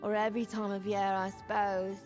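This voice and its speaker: A woman's voice: mid-range voice, level tone, a little fast, music in the background, lightly acted. An actress playing a drunken female squatter in a fantasy video game, rambling, stumbling over words and lying badly. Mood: chatty, tipsy. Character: tipsy, rambling female squatter, chatty shameless bad liar. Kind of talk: video game character dialogue.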